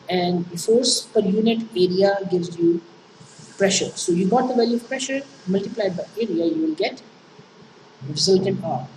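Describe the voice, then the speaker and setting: Woman, Pakistani English accent, Urdu native, engineering lecture, halting delivery with many fillers, distant from the mic, noisy background. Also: mid-range voice, warm tone, echoey room. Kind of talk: classroom lecture explaining worked problems.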